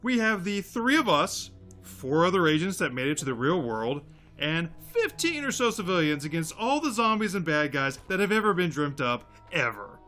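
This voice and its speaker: A man's voice: fairly high pitch, very animated, moderate pace, bright, forceful tone, faint music behind. A man playing a sardonic, world-weary agent who leads a resistance, speaking emphatically in an audio drama. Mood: sarcastic. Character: gruff, sardonic male agent leading a resistance.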